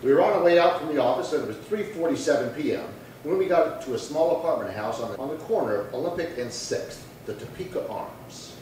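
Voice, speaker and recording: An actor playing a terse, businesslike detective sergeant in a 1950s-style radio crime drama, clipped and laconic. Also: male voice, a little high, lively intonation, brisk, few pauses, darkish tone, lightly acted. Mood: matter-of-fact. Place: live stage radio-show performance in roomy space. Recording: echoey room from far off, faint noise.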